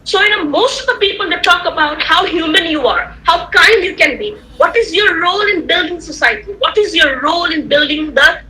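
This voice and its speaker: A woman whose first language is Tamil, giving an animated online lecture to students, repeating points and checking that they follow. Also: very high-pitched, brisk, few pauses, rough recording. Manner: animated, repetitive, rhetorical questions to listeners. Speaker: guest lecturer. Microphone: computer mic on a video call.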